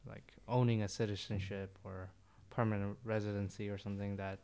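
Guy chatting, stops and restarts a lot, smooth clear voice. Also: fairly low pitch, little pitch movement, a little mellow. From Korea. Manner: halting, restarts phrases. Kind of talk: casual chat.

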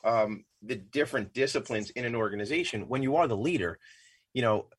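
A middle-aged man hosting a radio interview show, talking quickly in a rambling, self-interrupting way and repeating words. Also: medium pitch, slightly rough. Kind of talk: casual interview chat.

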